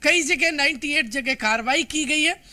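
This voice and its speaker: An Indian man reports live for TV news in a very high, sharp and forceful voice. He comments emphatically as he goes, giving opinions alongside the facts. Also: normal speed.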